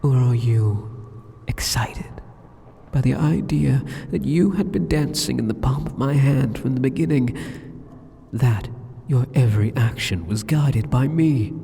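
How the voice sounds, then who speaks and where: A man's voice: medium pitch, creaky, dark tone, a little hiss or hum. A man voicing an arrogant, sadistic demon king in an ASMR roleplay, with a mocking, condescending and teasing manner. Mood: taunting, amused. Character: sadistic, arrogant male demon king taunting a captive hero. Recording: long cavernous hall reverb.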